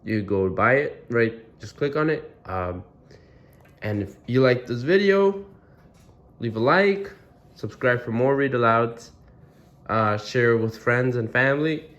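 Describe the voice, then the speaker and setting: A man's voice: mid-range voice, a little slow, fairly bright tone, highs cut off. A man hosting a read-aloud, reading a children's storybook expressively with casual asides.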